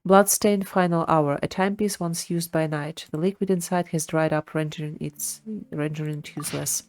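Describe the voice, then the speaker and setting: A woman's voice: sits low, steady tone, moderate pace, a little mellow. A woman streamer giving live commentary on a video game and its lore. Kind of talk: live gameplay commentary with lore read aloud. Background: game music.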